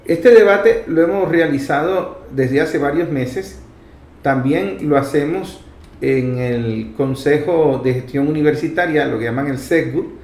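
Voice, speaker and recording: A man's voice: mid-range voice, darkish tone. Venezuelan man speaking in an echoey room. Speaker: programme host and foundation vice president. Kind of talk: addressing viewers in a public affairs talk. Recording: roomy, echoing sound.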